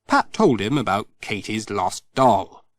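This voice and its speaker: Male audiobook narrator for children's storybook, highly varied intonation, expressive storytelling, dry recording. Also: unhurried, no room sound.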